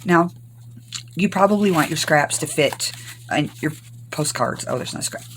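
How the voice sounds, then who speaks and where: An older woman from Arkansas, hoarse from recent illness, chatting through a rambling crafting tutorial. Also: deep voice, moderate pace, slight background noise. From Arkansas, US South. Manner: rambling, homey, many ums. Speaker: craft channel host.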